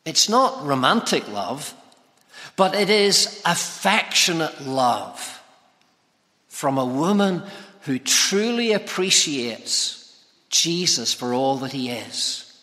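A man preaching an expository sermon, speaking with emphatic, deliberate phrasing. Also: fairly high pitch, big pitch swings, pushed and bright. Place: large reverberant church or hall. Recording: hall reverb.

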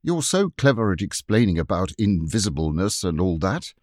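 A man's voice: deep voice, big pitch swings, normal speed, a little mellow, dry recording, clean studio sound. A man reading the part of an earnest, questioning boy who easily grows dejected. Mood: puzzled. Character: earnest boy, the captain, Robert.

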